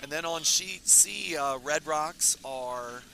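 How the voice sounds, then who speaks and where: A man commentating a curling tournament stream in a casual, rambling way, his voice raspy and hoarse from talking all weekend. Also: on the high side, takes it slowly, slight background noise, poor audio. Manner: relaxed, rambling, many fillers. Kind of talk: casual live sports commentary. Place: curling club.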